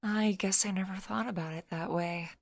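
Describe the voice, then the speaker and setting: A woman voicing a bubbly, teasing young woman, a loyal best friend, playful and excitable in an audio story. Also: mid-range voice, normal speed, gravelly, no room sound. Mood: gentle, reassuring.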